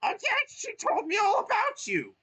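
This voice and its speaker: Storybook reading: a man doing a frantic little mushroom guy, very high and yelly. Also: huge pitch swings, moderate pace, full character voice. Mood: excited. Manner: shrill, yelling. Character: injured frantic male mushroom retainer.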